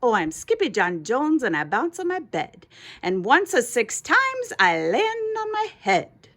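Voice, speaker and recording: A woman's voice: sits high, huge pitch swings, a little slow, a bit bright, pitched above the performer's own voice, exaggerated voice. A woman voicing a bouncy, boastful little boy kitten who plays at being a Chihuahua hero, with exclamations and singsong rhymes in a storybook reading. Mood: excited, boastful.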